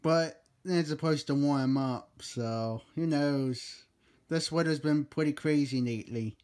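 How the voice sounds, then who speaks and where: A man's voice: a little high, measured pace. Easygoing man chatting on radio app. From Philadelphia area. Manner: relaxed, easygoing, chuckling asides. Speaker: video maker. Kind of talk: casual chat over walkie-talkie app.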